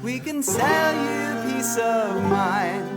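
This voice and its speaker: A woman's voice: a little high, lively intonation, takes it slowly. A woman playing a mock-earnest insurance saleswoman in an old-time country comedy ad, theatrically moving between speech and song. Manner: theatrical, half-spoken, half-sung delivery. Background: loud country string music.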